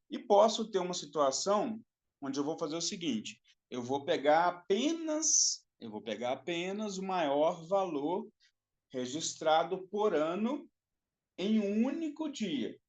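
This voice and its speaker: Man lecturing with frequent "tá?" checks. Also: on the high side. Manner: explanatory, repeats himself and adds frequent "tá?" checks. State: dry throat, coughing at times. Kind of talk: recorded online class lecture.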